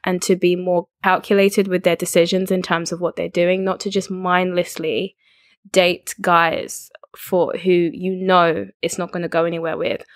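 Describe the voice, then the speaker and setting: A woman giving her personal opinion to her viewers in a measured, earnest way. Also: on the low side, little pitch movement, no echo, studio quality. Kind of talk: opinion vlog.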